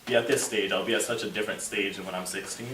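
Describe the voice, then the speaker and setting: A man's voice: sits low, fairly even intonation, racing, a crowd in the background. A man in his twenties speaking earnestly in a casual group discussion, rambling and using many fillers. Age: 20s. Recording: echoey room, distant mic, faint noise.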